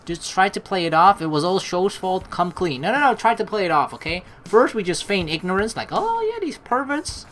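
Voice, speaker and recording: A woman's voice: fairly low pitch, sing-song, brisk, few pauses, slight background noise. A young woman hosting a let's play, teasing and slangy as she mixes casual commentary with reading the game's narration aloud. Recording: faint game music behind the voice.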